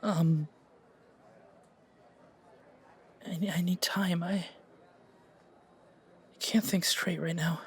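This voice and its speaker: A man playing a shocked, grieving young man in an audio roleplay, stammering and halting with a breathy delivery. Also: high-pitched, fairly even intonation, pitched above the performer's own voice, full character voice. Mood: dazed, overwhelmed.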